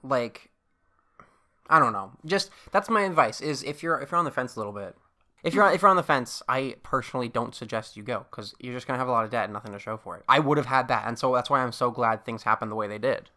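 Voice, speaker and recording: Casting: man, early twenties, podcast co-host. Bright, forward voice, mid pitch, rambling conversational delivery. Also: animated, quick pace. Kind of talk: casual rambling chat.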